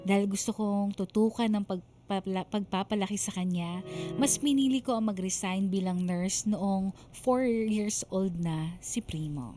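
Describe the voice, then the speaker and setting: A woman's voice: mid-range voice, measured pace, mellow. A woman giving scripted, dramatized narration as a radio drama storyteller, with music under the voice.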